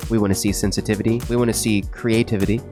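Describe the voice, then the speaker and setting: A man giving mock-serious, measured golf-style commentary on a video game. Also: lowish voice, level tone, music in the background. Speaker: streaming show co-host commentating.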